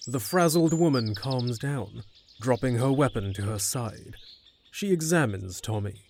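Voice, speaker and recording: A man's voice: mid-range voice, lively intonation, unhurried, vocal fry, a little mellow, a little hiss or hum. A man narrating a scripted fantasy audio drama, with music underneath.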